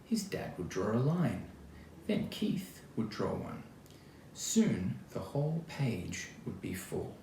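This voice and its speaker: Australian male, lowish voice, expressive children's storytime read-aloud with chatty asides. Roomy sound with background noise. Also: slow, vocal fry. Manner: expressive, chatty, addressing young listeners directly. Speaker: actor reading a children's book aloud.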